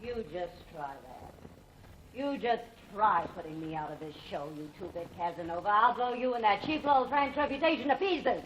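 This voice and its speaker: An actress playing a vain, temperamental stage star in a 1940s radio drama, imperious and quick to rage. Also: female voice, medium pitch, lively intonation, lightly acted. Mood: furious. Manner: imperious, haughty, flares into shouting rage. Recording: muffled 1940s radio broadcast, faint hiss.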